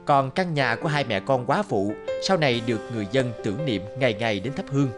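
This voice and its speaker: A man with a mid-pitched voice reads scripted fairy-tale narration for a cartoon. Loud music plays behind him. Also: expressive, fast talker.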